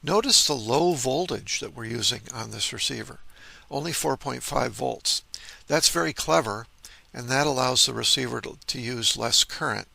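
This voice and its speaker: A man giving an informal technical demonstration of vintage radio gear, explaining and pausing to point things out. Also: medium pitch, gravelly, sharp tone, dry recording. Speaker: host, electronics hobbyist.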